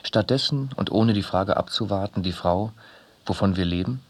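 A man reads literary prose for a radio play. His voice is very low and husky, with a rough, unpolished grain to it. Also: moderate pace. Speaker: reader in a radio drama.

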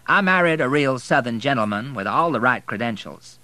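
A man's voice: mid-range voice, lively intonation, fairly quick, pushed and bright, dry recording, voice pushed up. A man voicing a poised, teasing Southern woman who is a wealthy divorcee. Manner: arch, teasing.

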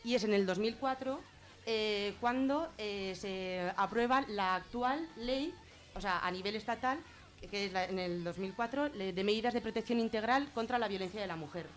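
Female radio guest, Spain accent, medium pitch. Explains in everyday words, halting and thinking aloud, unscripted. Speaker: guest explaining gender-violence legislation on a radio show. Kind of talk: informal explanatory talk.

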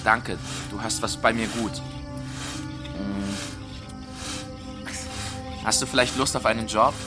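A man's voice: on the low side, steady tone, slow pace, over loud music. An actor playing a polite, hesitant, friendly wealthy man in a radio drama. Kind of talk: German radio drama acting.

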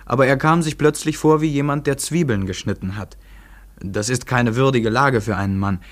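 Male storyteller actor, medium pitch, composed with dry wit, literary radio-play narration. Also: moderate pace, lightly acted. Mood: composed, wry.

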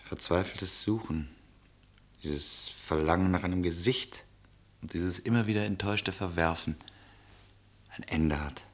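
A man's voice: sits low, full character voice. An actor playing a weary, romantic male traveller in a poetic radio play, earnest and lyrical in his delivery.

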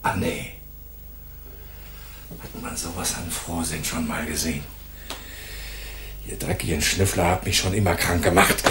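Sneering, low-voiced bully cop, roomy sound. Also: male voice, fairly even intonation, unhurried, pauses often, background noise. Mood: menacing, contemptuous. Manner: mocking, aggressive, swinging from soft taunts to barking. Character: bullying, sneering, hot-tempered male police detective. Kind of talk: noir radio drama dialogue.

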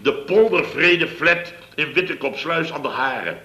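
An actor playing a boastful, muddled contractor boss of fifty in a 1960s radio play. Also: male voice, fairly high pitch, expressive, unhurried, pushed and bright. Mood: blustering. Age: 50s. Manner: blustering, rambling, chuckles at himself. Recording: echoey room with faint noise.

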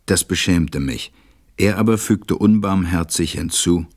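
A man playing a stranded pilot who narrates a radio play in a measured, reflective way. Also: sits low, a little mellow, dry recording, studio quality. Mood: tender, reflective.

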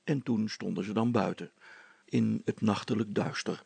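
A man's voice: lowish voice, steady tone, moderate pace, creaky, a little mellow, breathy edge, no room sound. A man narrating a storybook as a scripted audiobook reading.

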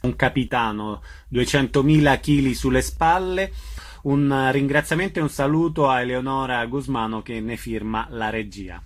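A man hosting a radio program, asking guests questions and announcing shows in a brisk presenter manner. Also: medium pitch. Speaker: radio host.

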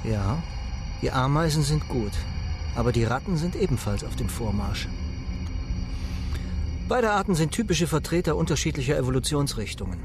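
A man's voice: medium pitch, sing-song, normal speed, pauses often. An actor playing a reflective male scientist aboard a starship in a science fiction radio drama. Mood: analytical. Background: music.

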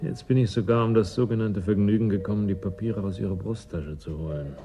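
A man's voice: lowish voice, a little fast, music in the background, lightly acted. An actor playing a blunt, distrustful working man in a radio drama, curt and sardonic in manner. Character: gruff, suspicious, blunt working man of a frozen settlement. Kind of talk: German radio play acting.

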